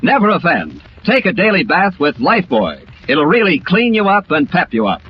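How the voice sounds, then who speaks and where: A man's voice: sits high, big pitch swings, normal speed. A man delivering a 1940s radio advertisement as announcer. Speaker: commercial announcer. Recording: muffled, faintly noisy old radio recording.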